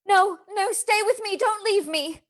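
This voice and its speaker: A woman's voice: very high-pitched, measured pace, fairly bright tone. A woman playing a frightened, anguished young wife cursed by a vampire in a radio play, tremulous and pleading.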